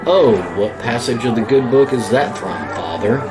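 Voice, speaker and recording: A man's voice: medium pitch, moderate pace, close to an everyday voice. A man playing a sardonic bartender. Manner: dry sarcastic quips. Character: wisecracking male bartender, gruff and sardonic. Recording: loud music and crowd behind.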